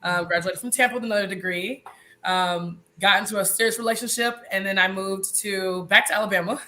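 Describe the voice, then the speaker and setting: Guest: 30s woman, stuffy nose, bright nasal voice, laughs easily. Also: medium pitch, fairly even intonation, fairly quick. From Mississippi, US. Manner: chatty, laughs often. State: congested, a little nasal. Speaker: guest, nurse. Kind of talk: casual interview.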